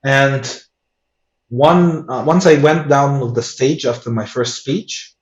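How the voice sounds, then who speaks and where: A man with giving a personal testimony in an interview, earnest and halting with many restarts. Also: mid-range voice. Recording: echoey room, dull lo-fi sound.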